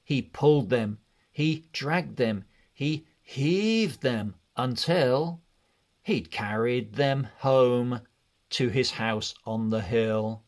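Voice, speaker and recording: A man narrating a children's read-along storybook in an expressive, deliberate way. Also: mid-range voice. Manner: expressive, deliberate, measured delivery.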